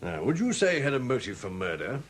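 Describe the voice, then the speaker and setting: An actor playing a genial, bluff older chief constable in a 1970s radio drama, hearty, with hesitant little interjections. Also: male voice, mid-range voice, sing-song, fast talker, close to an everyday voice. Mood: bluff, inquiring. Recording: hissy old 1970s radio broadcast recording.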